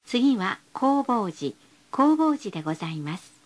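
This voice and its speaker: A woman's voice: on the high side, very animated, unhurried. A woman reading scripted bus stop announcements in a polite, formal, carefully enunciated way.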